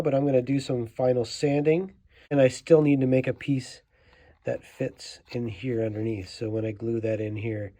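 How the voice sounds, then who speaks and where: A man's voice: mid-range voice, mellow, no echo. Male DIY tutorial host, informal and hands-on, mid-range voice, dry close sound, thinks aloud as he goes. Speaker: host of a toy restoration tutorial. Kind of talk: casual hands-on how-to narration.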